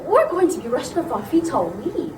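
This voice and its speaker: Woman playing a humble farm girl, high voice, polite, chatty, theatrical, echoey. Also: very animated, normal speed. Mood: eager, hospitable. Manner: deferential, meek, chatty. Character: polite, humble farm schoolgirl, innocently evasive. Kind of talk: theatrical comic drama. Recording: roomy, distant, clearly noisy recording.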